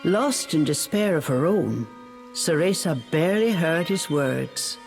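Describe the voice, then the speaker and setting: A woman's voice: deep voice, expressive, measured pace, darkish tone, soft background music, rough recording. A woman reading scripted storybook narration as a dramatic storyteller for a game.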